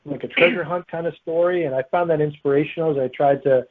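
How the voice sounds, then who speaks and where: A man's voice: on the high side, steady tone, quick pace. A man speaking as a guest author, answering interview questions in a casual, conversational way. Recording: tinny, phone-like line.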